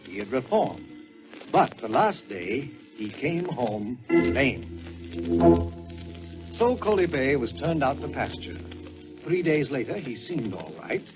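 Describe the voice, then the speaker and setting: A man telling a story in a dramatic 1940s radio style, theatrical and emphatic, with deliberate pauses for effect. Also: medium pitch, big pitch swings. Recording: dull old-time radio recording with music.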